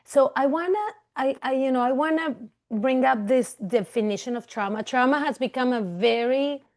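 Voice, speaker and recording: A woman's voice: sits high, normal speed, a little mellow. A woman from Venezuela, a clinical psychologist, giving a webinar talk over a video call in a loose, conversational way full of fillers and restarts. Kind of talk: webinar presentation.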